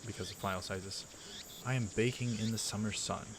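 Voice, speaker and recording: A man with a deep, low voice reads a visual novel aloud for a let's play. He moves between measured narration and halting casual asides. The home recording carries clear background noise. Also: steady tone.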